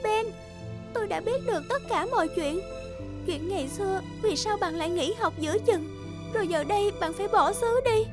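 A woman's voice: very high voice, lively intonation, normal speed. A woman playing a devoted, earnest young girl in a cartoon fairy tale, in a pleading manner. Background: background music.

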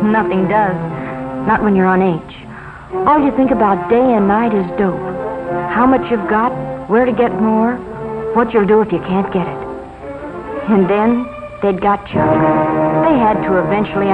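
A woman's voice: medium pitch, close to an everyday voice. A young woman acting a troubled teenage former addict, confessing wearily in a 1950s educational film. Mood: weary, regretful, then bleak. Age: young adult. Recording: tinny band-limited 1950s film soundtrack, music.

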